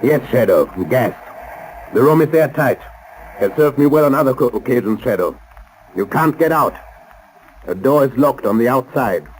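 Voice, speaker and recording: An actor playing a suave, cold-blooded saboteur doctor in a 1930s radio drama, speaking formally and deliberately with polite menace. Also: male voice, medium pitch, expressive, a little hiss or hum, close to an everyday voice, poor audio. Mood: gloating menace. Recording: old 1930s radio recording.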